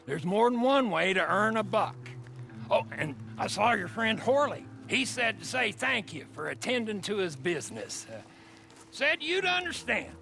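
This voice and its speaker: A man's voice: sits high, sing-song, normal speed, bright, forceful tone, slight background noise, exaggerated voice. An actor playing a sardonic, ominous old man in a Western game, moralising and taunting. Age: older. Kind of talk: game cutscene acting.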